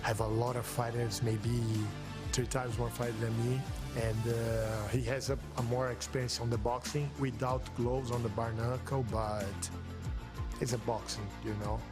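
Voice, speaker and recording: Brazilian-accented boxer, flat and halting. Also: male voice, medium pitch, over loud music. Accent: Brazilian Portuguese. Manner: halting, non-native phrasing. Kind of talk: pre-fight interview.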